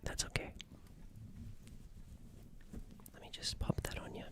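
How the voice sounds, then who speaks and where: A performer playing a gentle, caring alien in an ASMR roleplay, speaking in a breathy, soothing whisper with some hesitation. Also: fast, lightly acted. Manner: soft, hesitant, soothing, repeats reassurances. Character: gentle caring alien tending a crash-landed human.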